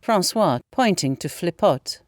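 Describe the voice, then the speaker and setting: A woman's voice: on the low side, animated, unhurried, dry recording, studio quality. A woman reading stage directions as the narrator of an audio play.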